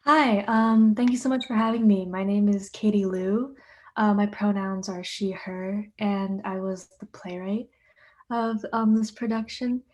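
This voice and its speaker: A woman's voice: medium pitch, steady tone, measured pace, highs cut off. A teenage girl, a playwright, introducing herself earnestly and modestly on a video call. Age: teens. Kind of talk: casual introduction.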